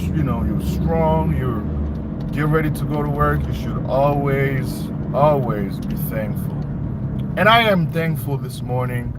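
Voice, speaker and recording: A man from the Democratic Republic of Congo, chatting casually to livestream viewers and repeating phrases for emphasis. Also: mid-range voice. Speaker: livestream host. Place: inside a car while driving. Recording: loud car and road noise. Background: engine and traffic noise.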